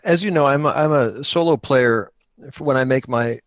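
Animated man chatting, close dry sound. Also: medium pitch, moderate pace, no room sound, highs cut off. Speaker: podcaster and solo tabletop role-player. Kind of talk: casual chat about tabletop gaming.

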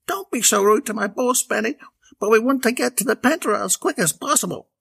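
A man voicing a breathless, tipsy woman in a storybook reading, high and whiny. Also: lively intonation, brisk, creaky, a bit bright, slightly rough, heavily acted. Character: wheezing tipsy woman in riding boots.

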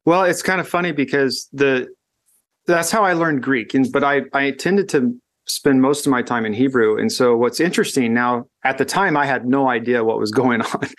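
Man talking through ideas with restarts. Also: medium pitch. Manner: thoughtful, halting with frequent restarts. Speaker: guest educator. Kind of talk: casual conversation.

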